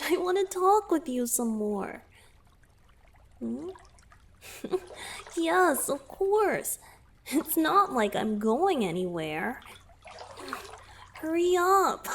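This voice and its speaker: A woman voicing an affectionate, playful young merman boyfriend in a romantic ASMR roleplay, tender, breathy and often whispering. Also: high voice, slight background noise, full character voice. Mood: excited, eager.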